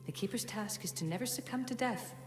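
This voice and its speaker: A woman playing an ancient female spirit guide in a game cutscene, solemn, measured and cryptic, speaking formally like an oracle. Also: mid-range voice, close to an everyday voice. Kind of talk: dramatic game cutscene acting. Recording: echoing long reverb, music behind.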